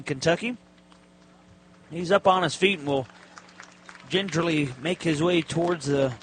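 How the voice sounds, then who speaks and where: A man's voice: on the high side, fairly bright tone. A man working as a radio announcer, giving live football play-by-play in clipped, descriptive phrases. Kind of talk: live sports play-by-play commentary. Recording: live broadcast with stadium background noise. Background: stadium ambience, faint noise.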